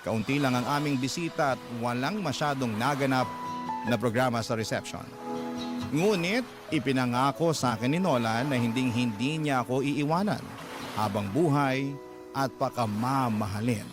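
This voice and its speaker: A man's voice: medium pitch, moderate pace. A man with a Filipino accent giving dramatic, scripted first-person narration for a radio drama. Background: music bed.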